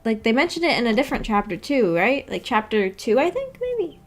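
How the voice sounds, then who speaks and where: A woman hosting a casual livestream discussion, musing tentatively out loud with a giggly manner. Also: a little high, huge pitch swings, fairly quick. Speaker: host of a book club stream.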